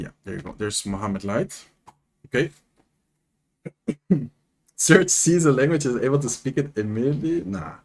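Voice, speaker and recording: Young dutch-accented guy streaming, reads chat out, voice swings up and down a lot, talks into a desk mic. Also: mid-range voice. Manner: chatty, reads and answers chat, animated. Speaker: streamer and content creator. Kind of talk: casual live-stream commentary.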